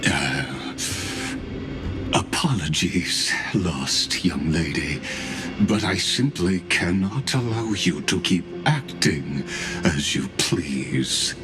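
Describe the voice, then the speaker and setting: Deep, haughty, slow-spoken villain. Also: male voice, animated. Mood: coldly polite. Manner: deliberate, formal, condescending. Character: haughty, menacing, courteous male villain. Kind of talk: video game voice acting. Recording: loud music under the voice. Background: loud game music.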